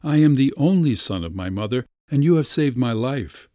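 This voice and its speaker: A man's voice: mid-range voice, sing-song, measured pace, mellow, no room sound, clean studio sound. A man voicing an earnest, insistent young man who pleads effusively, in a raised voice. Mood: earnest pleading.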